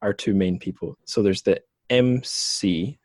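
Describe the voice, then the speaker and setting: A man's voice: fairly low pitch, a little slow, dry recording, studio quality. A male podcast host talking casually with a guest, rambling with lots of fillers and self-corrections. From lived in China. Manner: rambling, many fillers and restarts. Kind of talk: casual interview chat.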